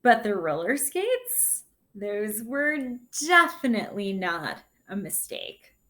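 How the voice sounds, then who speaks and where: A woman teacher giving a read-aloud lesson for children, reading a picture book with gentle, deliberate, expressive phrasing and her own commentary. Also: mid-range voice. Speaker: sixth grade teacher.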